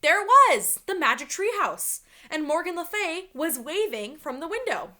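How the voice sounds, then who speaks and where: A woman reading a children's story aloud like a teacher, with dramatic, expressive storytelling. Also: extremely high pitch, normal speed.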